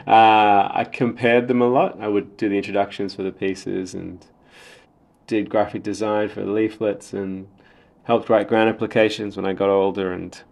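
A man with an Australian accent, a podcast guest talking reflectively in measured, explanatory short phrases. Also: fairly low pitch, flat delivery, creaky, darkish tone. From Australia, raised in Sydney. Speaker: podcast guest, theatre writer and director. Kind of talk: reflective interview talk.

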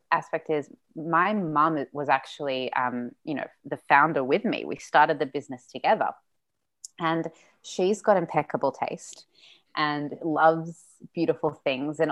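A young adult woman, a business founder, talking earnestly as an interview guest, laughing often and swearing now and then. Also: low voice. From Australia. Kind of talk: casual interview chat.

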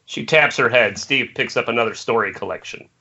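Very bright-voiced man narrating a script. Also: medium pitch, fairly quick, creaky, breathy edge. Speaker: stage directions narrator. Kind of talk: scripted narration in an amateur table read. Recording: dull, noisy internet call audio.

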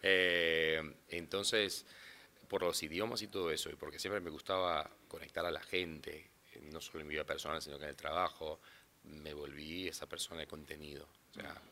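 Very deep-voiced Brazilian man. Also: steady tone, moderate pace, vocal fry, bright, forceful tone, slight background noise. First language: Portuguese. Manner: rambling, restarts often. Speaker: interpreter. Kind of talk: casual interview talk.

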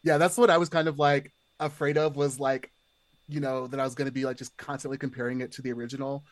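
A man's voice: mid-range voice, level tone, quick pace, no room sound. A man chatting casually as a podcast guest, rambling along and peppering his sentences with "like". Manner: rambling, heavily hedged with "like".

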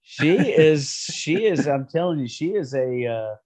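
A man's voice: a little high, animated, a little slow, a little mellow. A man co-hosting a podcast, chatting with enthusiasm. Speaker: podcast co-host. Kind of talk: casual podcast chat.

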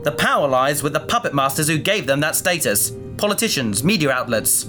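A man's voice: a little high, very animated, a little fast, music in the background. A man playing a rational, sceptical crew mate who lectures in an articulate, emphatic way in a sci-fi audio drama. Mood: scornful lecturing.

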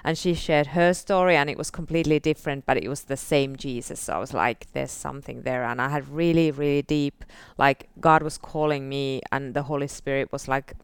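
Low-voiced Finnish woman telling her story. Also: fairly even intonation, fairly quick, hardly any pauses, clear, resonant tone, no echo. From northern Finland. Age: 40s. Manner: soft-spoken, many fillers like "like" and "uh". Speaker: guest. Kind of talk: casual testimony interview.

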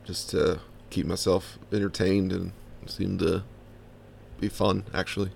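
A man from the Washington DC–Baltimore area hosting a podcast, with a bassy baritone voice, laid-back and chatting with short agreeing replies. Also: flat delivery, a little slow, vocal fry, a little hiss or hum. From Washington DC–Baltimore area, USA. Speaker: podcast host. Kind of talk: casual podcast interview.

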